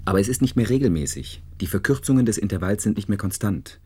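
An actor playing an earnest, shy, excitable young space researcher in a German science fiction radio play, speaking hesitantly. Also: male voice, on the low side, fast, slight background noise, natural voice. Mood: earnest, urgent. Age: young adult.

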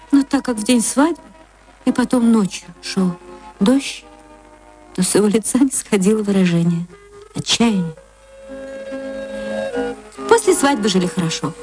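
A woman reading a short story for radio as an actress, in expressive literary narration. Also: fairly high pitch. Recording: music under the voice.

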